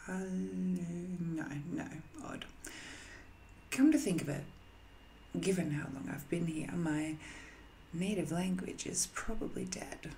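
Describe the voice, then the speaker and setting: A woman playing an ancient, banished magical villain in an audio roleplay, musing and seductive, with hesitant, intimate delivery that often softens toward a whisper. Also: on the low side, creaky, slight background noise, rough recording. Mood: musing, curious. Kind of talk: scripted audio roleplay monologue, intimate and addressed to the listener.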